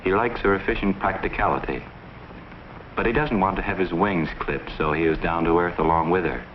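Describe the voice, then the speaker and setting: A man narrating a 1950s educational film in a measured, analytical manner from a script. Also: low voice. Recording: muffled 1950s film soundtrack, faint noise.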